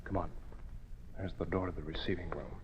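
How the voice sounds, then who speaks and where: An actor playing a daring, confident masked vigilante in an old-time radio drama, brisk and commanding, on a muffled and noisy recording. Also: male voice, low-pitched, steady tone, lightly acted. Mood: low, conspiratorial.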